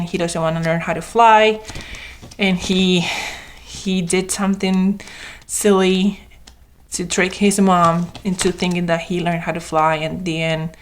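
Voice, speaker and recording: A young adult woman with a slightly hoarse voice, chatting casually as she shows her homeschool materials in a vlog, with frequent ums and restarts. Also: lowish voice, level tone, moderate pace, a little hiss or hum. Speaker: homeschooling mom vlogger. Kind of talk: casual show-and-tell vlog.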